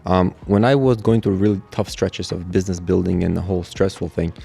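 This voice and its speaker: A young man from Russia, native Russian speaker, with a low, gravelly voice and a mellow, darker tone. He speaks in a reflective, candid way, easing through personal stories in relaxed podcast talk, with faint music behind him. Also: brisk. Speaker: guest, catering business owner. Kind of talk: casual podcast conversation.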